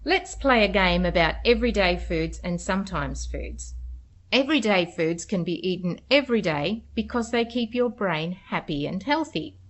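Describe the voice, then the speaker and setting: A woman's voice: mid-range voice, animated, moderate pace, a bit bright. Woman narrating for young children. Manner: simple, clearly instructive phrasing. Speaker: narrator of children's educational material. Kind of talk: scripted educational narration for preschoolers.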